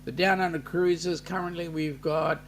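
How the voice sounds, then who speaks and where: An Australian man hosting a casual live-stream chat, reading viewer comments aloud in an unhurried way with plenty of ums. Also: on the high side, fairly even intonation, a little hiss or hum.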